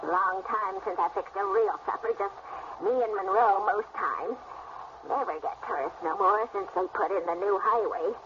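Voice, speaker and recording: A woman playing a folksy, menacing old backwoods granny with a slow rustic drawl in a radio horror play. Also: mid-range voice, natural voice. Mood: folksy, brusque. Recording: noisy, muffled radio play recording.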